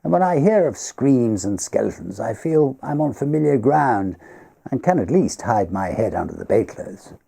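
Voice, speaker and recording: A man's voice: medium pitch, big pitch swings, normal speed, gravelly, mellow, breathy edge, pitched above the performer's own voice, exaggerated voice. A man voicing a genteel party host in a storybook, confiding and faintly haunted, with emphatic, refined conversational speech. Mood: dismissive, amused. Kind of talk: storybook character dialogue.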